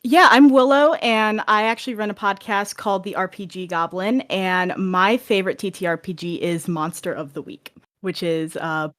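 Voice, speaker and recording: A woman's voice: mid-range voice, normal speed, a bit bright. A woman talking casually and chattily as a guest on a podcast. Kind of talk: casual chat.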